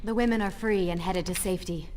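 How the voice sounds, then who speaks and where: An actress playing a compassionate, defiant young princess who speaks earnestly and firmly. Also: female voice, fairly low pitch, fairly even intonation, quick pace, heavy background noise, close to an everyday voice. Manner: earnest, reproachful, firm.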